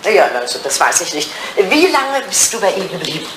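Lively, teasing elderly English lady played by an actress. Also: mid-range voice, a little fast, close to an everyday voice. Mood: teasing, reproachful. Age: older. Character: elderly English lady, old flame, playful, teasing, sentimental. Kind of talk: 1980s German radio play acting. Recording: roomy sound with clear background noise.

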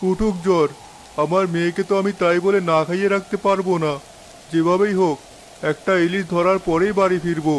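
Guy doing cartoon village fisherman dad, high voice, protective and emphatic, faint rain behind him. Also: fairly even intonation, brisk, slight background noise, natural voice. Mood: resolute. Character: devoted village fisherman father, determined and protective. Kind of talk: dramatic Bengali animated story acting. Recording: faint rain and storm ambience.

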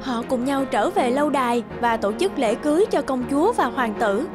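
A woman's voice: high-pitched, animated, moderate pace, music in the background. A woman narrating a scripted fairy tale.

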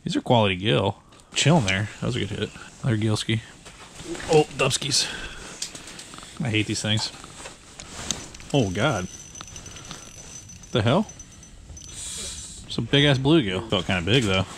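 A man in his thirties filming his ice-fishing trip in a tent on a frozen lake, talking casually and excitedly with plenty of slang and swearing, recorded on a handheld action camera. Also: lowish voice, a little slow, gravelly, pauses often. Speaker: fishing vlogger. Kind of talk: casual outdoor vlog. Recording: noisy outdoor camera audio.

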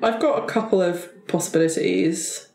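A man's voice: sits high, moderate pace, vocal fry, darkish tone, soft background music. A man chats casually as a player in a tabletop game, working through character ideas. The recording has an echoey room sound.

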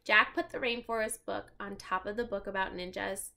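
A young adult woman reading a children's book aloud in an expressive, animated manner, talking directly to her young listeners. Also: medium pitch, normal speed, vocal fry. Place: small furnished room at home.

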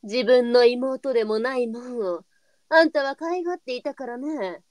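A woman's voice: on the high side, moderate pace, no echo, natural voice. A woman playing a pragmatic, brusque brothel mistress in a live period voice drama, with a blunt and knowing way of talking. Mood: matter-of-fact. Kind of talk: online live voice drama acting.

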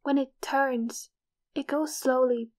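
A frail young woman of nineteen in an audio drama. Her voice is high and very even, gentle and resigned, with halting phrases. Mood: weary, resigned.

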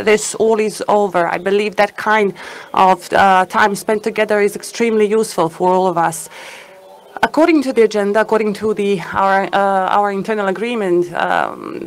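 A woman giving live simultaneous interpretation of a conference talk, hesitant with fillers and an uneven pace. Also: mid-range voice.

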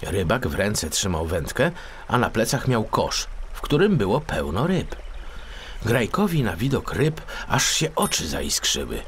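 A man narrating a children's story as an audiobook, in an expressive storytelling style. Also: on the low side, a little slow, slight background noise.

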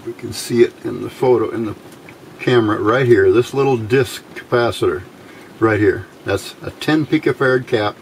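A middle-aged man giving an informal hands-on tutorial in halting short phrases, pausing often as he explains. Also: medium pitch, low-quality recording. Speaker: electronics hobbyist demonstrating a homemade circuit. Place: indoors at a workbench. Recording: steady clear background noise.

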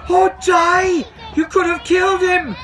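A man voicing an excitable, urgent male character in a children's storybook, exclaiming his lines. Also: very high voice, a little slow, some chatter behind, pitched above the performer's own voice. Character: excitable man, urgent and cheerful, dragon's keeper.

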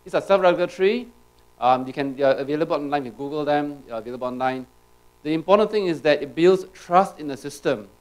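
A man's voice: fairly high pitch, poor audio. A man giving a conference talk in a large auditorium, peppering his points with "right?" and restarting his sentences. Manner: rapid, tag questions, frequent restarts. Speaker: conference speaker.

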